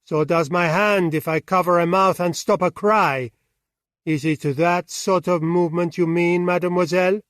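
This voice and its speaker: A man voicing a stern, sharp-tongued French detective in an audiobook, commanding and ironic. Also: a little high, slow pace, dry recording, studio quality.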